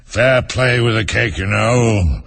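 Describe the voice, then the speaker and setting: A man voicing a weary, grumpy storybook lion in a deep, hollow tone, drawling and yawning between words. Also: slow pace, a bit bright, exaggerated voice. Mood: lazy, commanding. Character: slow, sleepy, weary old lion, grumpy and boastful.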